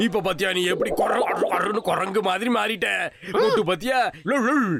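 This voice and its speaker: A man playing an excitable, showy inventor doctor in a dubbed cartoon, coaxing and repeating his words. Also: high voice, a little fast, vocal fry. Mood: boastful. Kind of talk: cartoon dub acting. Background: loud music.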